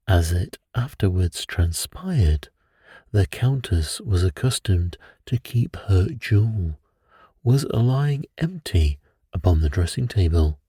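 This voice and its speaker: A man narrating a classic detective tale as a bedtime story in a calm, measured, soothing manner. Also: lowish voice, husky, no room sound, clean studio sound.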